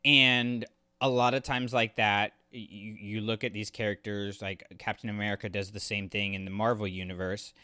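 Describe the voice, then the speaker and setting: A man's voice: lowish voice, level tone, normal speed, sharp tone, clean tone, no room sound, studio quality. A man co-hosting a podcast, giving his opinions on a story in casual conversation. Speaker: podcast co-host. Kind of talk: casual opinionated review chat.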